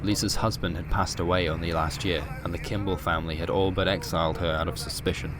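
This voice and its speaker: A man narrates a scripted horror story as a podcast host, in measured, literary storytelling. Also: deep voice, steady tone, a crowd in the background. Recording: music bed under the voice. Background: music.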